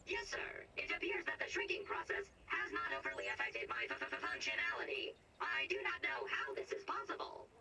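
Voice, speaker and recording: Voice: very high-pitched, huge pitch swings, fairly quick, vocal fry, hardly any pauses, bright, forceful tone, far from the mic, soft background music, heavily acted. An actor voicing a polite, fussy burger-flipping robot, with a processed synthetic voice, formal wording and glitchy stutters, in a game audio log. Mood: polite, earnest. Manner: formal, literal, stuttering on words. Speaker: robot assistant.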